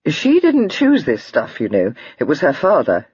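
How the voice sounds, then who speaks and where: A warm-hearted, teasing young gentleman who speaks to a girl with easy fondness, a touch lower than the narration. A woman reads him in her own breathy voice, dry and slightly muffled. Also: huge pitch swings, moderate pace, no room sound, heavily acted. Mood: fond.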